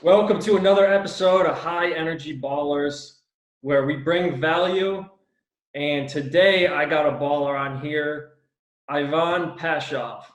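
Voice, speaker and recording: A man's voice: a little high, measured pace, roomy sound, rough recording. Upbeat slangy male podcast host. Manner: enthusiastic, slangy, calls guest "dude" and "bro". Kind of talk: casual podcast interview.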